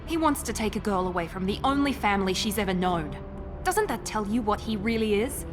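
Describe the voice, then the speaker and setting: A woman's voice: medium pitch, expressive, a little fast, lightly acted. An actress playing a proud, guarded woman operative in a video game, speaking with intensity over music. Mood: defiant. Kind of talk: dramatic video game acting. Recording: music behind the voice.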